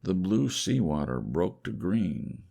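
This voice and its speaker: Very deep, creaky male storyteller. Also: sing-song, takes it slowly, dark tone. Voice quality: gravelly, creaky. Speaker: audiobook reader. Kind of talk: hushed ASMR audiobook narration of classic fiction.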